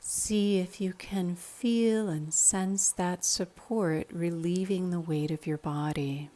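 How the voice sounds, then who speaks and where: A woman leading a guided meditation in a calm, measured, instructional manner. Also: lowish voice.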